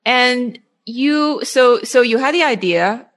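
A woman's voice: on the high side, animated, slow, a bit bright. A woman interviewing a guest conversationally, piecing her questions together tentatively in short phrases.

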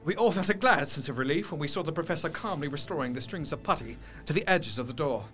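Earnest male diarist, played by an actor, gothic audio drama narration: formal, intense, fairly high voice, light music underneath. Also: expressive, fast talker, a bit bright. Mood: tense, amazed. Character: earnest man recounting horrors from his journal.

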